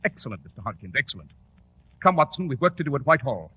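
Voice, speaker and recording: An actor playing a commanding, self-assured English gentleman detective in a 1940s radio drama, with a crisp British delivery. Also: medium pitch, sing-song, fast, heavily acted. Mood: excited, triumphant. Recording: dull, faintly noisy 1940s radio recording.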